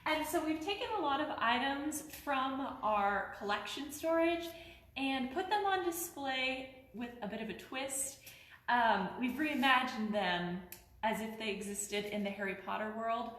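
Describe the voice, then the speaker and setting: High-voiced young woman in an echoey room. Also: lively intonation, measured pace, fairly bright tone. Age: 20s. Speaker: museum presenter. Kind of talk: informal artifact showcase to camera. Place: large indoor museum hall. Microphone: handheld phone camera a few feet away. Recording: roomy, echoey phone video indoors.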